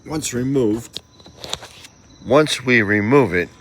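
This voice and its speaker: A man's voice: mid-range voice, lively intonation, slow pace, a bit bright. A man presenting a casual installation how-to, plain-spoken and chatty as he explains each step. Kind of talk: casual hands-on tutorial narration. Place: outdoors at a gate. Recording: outdoor noise with insects chirping.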